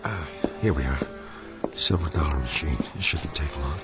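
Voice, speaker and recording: An actor playing a stiff, self-righteous husband with a gambling obsession, hesitant and easily flustered, in a radio drama. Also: male voice, rumbling low, moderate pace. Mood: polite, flustered. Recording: studio radio drama with music underneath.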